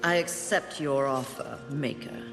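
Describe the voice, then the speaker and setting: A woman's voice: extremely low pitch, a little slow. An actress playing a haughty female horseman of the apocalypse, imperious and sardonic in a fantasy game. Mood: exasperated. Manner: imperious, sardonic, coldly menacing. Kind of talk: dramatic fantasy game acting. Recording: echoing hall under game music.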